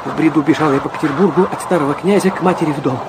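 A man's voice: on the high side, racing, very noisy, close to an everyday voice. An actor playing a feverish, proud young man, narrating his own torments intensely and theatrically in a radio play. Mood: delirious, entranced. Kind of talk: dramatic first-person radio play narration.

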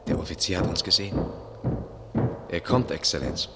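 A very deep-voiced, deferential guide. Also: male voice, very animated, moderate pace, music in the background. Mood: calm, observant. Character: attentive male guide, quietly describing the world to His Excellency. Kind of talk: German radio play acting.

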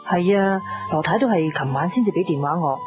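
Actress as low-voiced Hong Kong lady boss. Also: animated, fairly quick, lightly acted. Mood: brisk, instructing. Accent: Hong Kong Cantonese. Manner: matter-of-fact, instructing, mixes in English words. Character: businesslike married woman boss of a boutique. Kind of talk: 1990s radio drama acting. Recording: dull lo-fi radio recording, music behind.

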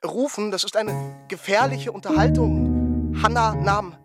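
An actor playing a musing observer in an experimental radio play, speaking in halting, pondering fragments. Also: medium pitch, close to an everyday voice. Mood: emphatic, musing. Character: musing observer puzzling over a twittering thing. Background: music.

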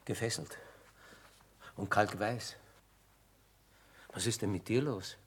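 An actor playing a down-at-heel, dignified army chaplain in a German radio play, with solemn, theatrical emphasis. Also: male voice, on the low side, slow, a little hiss or hum. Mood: shaken.

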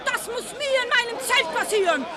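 An actress playing a furious camp sutler who screeches insults over a crowd. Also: female voice, lively intonation, moderate pace, very noisy. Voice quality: shrill, screeching.

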